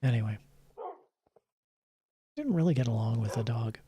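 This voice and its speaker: A man streamer chatting casually late at night in a calm, soft-spoken way, chuckling and sometimes dropping to a whisper. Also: mid-range voice, normal speed, slight background noise. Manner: relaxed, chuckling, drifting into whispers.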